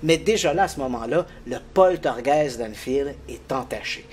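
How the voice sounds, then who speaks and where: A man explaining a paranormal case in detail as a guest, lively and quick to laugh. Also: medium pitch, slight background noise. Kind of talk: casual explanatory storytelling.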